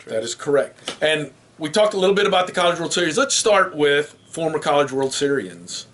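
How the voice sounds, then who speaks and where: A man's voice: fairly high pitch, big pitch swings, fairly quick, a bit bright. A man hosting a casual sports talk show, joking and steering the discussion with questions. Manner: joking, runs the segments and asks the questions. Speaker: podcast host.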